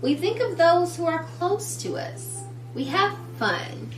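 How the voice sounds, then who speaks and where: A woman hosting a children's story time, reading aloud with deliberate phrasing and speaking directly to young listeners. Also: extremely high pitch, sing-song. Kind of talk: children's picture book read-aloud. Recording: roomy, distant mic, music underneath. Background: music.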